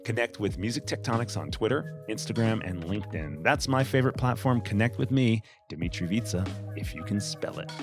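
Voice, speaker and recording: Host reading a cheery outro, lowish voice, music behind. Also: male voice, expressive, brisk. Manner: upbeat, friendly promotional read. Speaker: podcast host. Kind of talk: scripted outro. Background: music bed.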